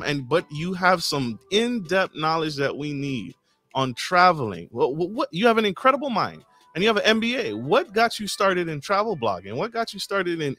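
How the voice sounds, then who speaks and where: Upbeat guy hosting a podcast into a studio mic, bright forceful voice, faint music under it. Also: on the high side. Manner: energetic, stumbling repetitions. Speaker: podcast host. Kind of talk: podcast interview. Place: home studio with green screen. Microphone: studio mic with headphones.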